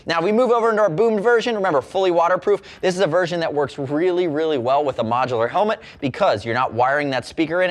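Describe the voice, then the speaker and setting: A man hosting a product review, talking in a fluent, casual, direct way with a salesman's touch. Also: medium pitch. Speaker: product reviewer, show host. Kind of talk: product review presentation to camera.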